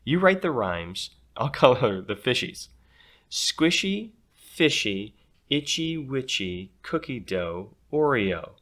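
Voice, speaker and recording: A man's voice: medium pitch, very animated, slow pace, a bit bright, pitched above the performer's own voice. A man voicing a bossy, pushy young schoolgirl with a brash, demanding manner in a storybook.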